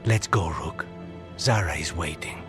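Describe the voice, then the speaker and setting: An actor playing a grim, guarded assassin bent on revenge in a video game, speaking tersely. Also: male voice, rumbling low, unhurried, over music. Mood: irritated, curt.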